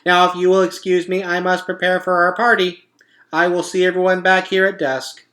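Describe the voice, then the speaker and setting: A perky storybook robot lady, played by a male reader, polite and cheerful. The voice sits high and rings out brightly, delivering formal announcements in a friendly, orderly way. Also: little pitch movement, moderate pace. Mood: reassuring. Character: cheerful, polite female robot addressing her animal friends.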